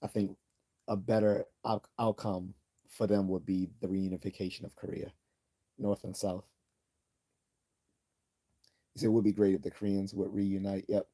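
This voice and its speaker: A man hosts a casual livestream, reading viewer comments aloud and chatting between them. His voice sits low, with a very dark, husky tone. He rambles with many restarts and stops to clear his throat. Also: flat delivery, normal speed. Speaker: livestream host.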